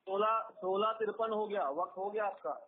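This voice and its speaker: A man's voice: high-pitched, steady tone, moderate pace, a loud crowd. A man making emphatic accusations in a heated religious debate over a phone call, repeating his points. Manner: accusatory, argumentative, emphatic repetition. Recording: tinny phone conference line.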